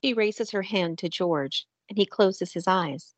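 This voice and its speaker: A woman's voice: mid-range voice, a little slow, no echo. A woman reading scripted narration as the storyteller of an audio drama.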